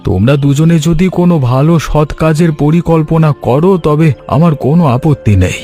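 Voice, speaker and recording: Man as earnest high-voiced village farmer, mellow. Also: brisk, faint music behind, lightly acted. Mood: sincere. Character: earnest, humble male village farmer and husband. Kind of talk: Bengali cartoon voice acting.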